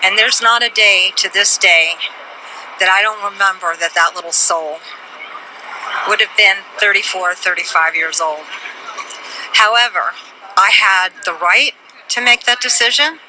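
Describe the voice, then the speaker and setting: Female interviewee, 50s, very bright forceful voice, deliberate testimony, faint street noise. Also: mid-range voice, poor audio. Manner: deliberate, emphatic short phrases. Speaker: protester and interviewee. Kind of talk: personal testimony. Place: outdoors on a city street.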